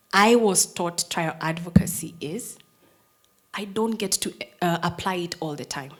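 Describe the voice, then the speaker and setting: A woman's voice: lowish voice, husky. Earnest Kenyan woman telling courtroom stories. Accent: Kenyan English. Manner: strong, firm voice. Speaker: prosecutor, speaker giving a talk. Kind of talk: personal storytelling talk to an audience.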